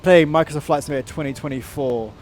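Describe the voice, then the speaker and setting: A man's voice: fairly high pitch, fast talker, a little mellow, slight background noise. A man giving casual, enthusiastic commentary while playing a flight simulator. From outside the United States. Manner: chatty, enthusiastic. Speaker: flight sim content creator.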